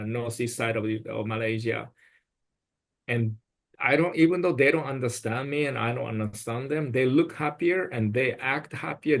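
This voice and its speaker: Brief: male podcast guest, phrasing, sincere and advice-giving, at a middle pitch. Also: fairly bright tone. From Japan. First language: Japanese. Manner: earnest, advice-giving, with non-native phrasing. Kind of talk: podcast conversation.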